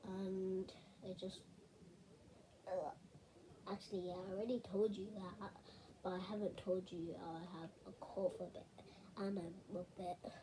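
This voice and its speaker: A woman's voice: mid-range voice, little pitch movement, a little slow, noisy background. A teenage girl with a cold vlogging casually at home, rambling and often dropping to a whisper. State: has a cold. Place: home bedroom.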